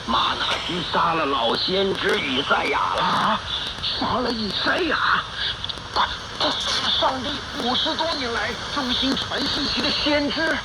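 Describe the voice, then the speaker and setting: A man's voice: sits high, animated, unhurried. A man playing an anxious, pious ancient Judean in a dramatic Bible radio play, heard over shortwave. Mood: alarmed. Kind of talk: Mandarin radio drama acting. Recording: very noisy shortwave radio pickup.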